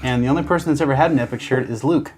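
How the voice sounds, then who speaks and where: Brief: mid-pitched male co-host, wry sarcastic banter. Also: rapid-fire, darkish tone, slightly rough. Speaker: podcast co-host. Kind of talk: casual banter.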